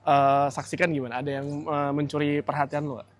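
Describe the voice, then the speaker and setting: A man's voice: on the high side, little pitch movement, fairly quick. A man with a Jakarta accent co-hosting a festival show, chatting in teasing, slangy banter at an outdoor event. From Jakarta area. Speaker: co-host. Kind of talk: casual chat.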